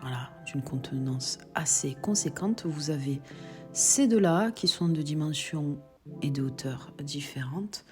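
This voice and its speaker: A woman's voice: deep voice, lively intonation, a little slow, faint music behind. A woman with a cold walking viewers through her shop's pottery stock, chatting as she explains prices and sizes. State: has a cold. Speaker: shop owner presenting stock. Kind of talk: product walkthrough video.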